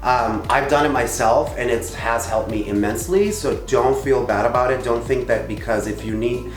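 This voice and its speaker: A man from Tijuana whose first language is Spanish, co-hosting a casual Q&A in a playful, animated way. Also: mid-range voice, normal speed, echoey room, soft background music. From Tijuana, Mexico. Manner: animated, playful, laughs often. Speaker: co-host. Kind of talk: casual Q&A chat.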